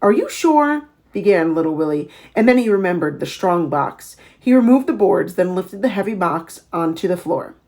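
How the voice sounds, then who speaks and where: A woman narrating a children's novel aloud in an expressive storytelling style. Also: lowish voice, normal speed, hardly any pauses. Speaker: audiobook reader.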